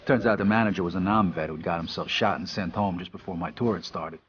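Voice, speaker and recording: An actor playing a jaded American trucker and war veteran, narrating a hard-boiled crime game in a matter-of-fact, world-weary way. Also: male voice, deep voice, fast talker, dull audio, a little hiss or hum, close to an everyday voice. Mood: wry. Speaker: narrator and player character.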